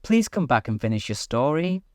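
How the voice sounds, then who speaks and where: A man's voice: mid-range voice, sing-song, normal speed, darkish tone, no echo, clean studio sound, voice pushed up, lightly acted. A man voicing a polite, curious young girl, humble and eager, in a storybook reading. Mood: pleading.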